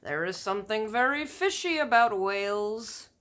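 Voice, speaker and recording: A woman's voice: medium pitch, lively intonation, lightly acted. A woman voicing a huge, boisterous giant in a children's storybook, declaiming emphatically with mangled folksy grammar. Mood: boastful, explaining. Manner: loud, emphatic, drawn-out declaiming. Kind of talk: children's storybook read-aloud.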